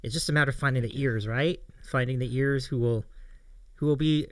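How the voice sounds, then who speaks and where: A man's voice: mid-range voice, brisk, no echo. A man hosting a livestream interview show, chatting casually in an appreciative, encouraging way. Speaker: host of a streaming interview show.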